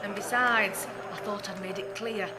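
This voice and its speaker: A woman playing a brisk, cheeky British cook in a comic 1940s radio play, teasing and scolding. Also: mid-range voice, fairly even intonation, music in the background, natural voice. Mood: teasing, firm.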